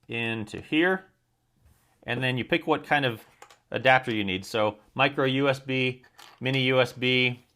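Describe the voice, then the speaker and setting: An American man with a medium-pitched voice talks through a product as a reviewer. His delivery is informal and explanatory, with a plain, matter-of-fact manner. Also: fairly bright tone.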